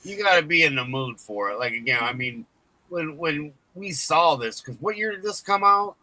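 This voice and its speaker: A man joining a casual group discussion over a video call, rambling with halting restarts. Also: on the high side, lively intonation, bright, forceful tone, no room sound, low-quality recording. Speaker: podcast guest.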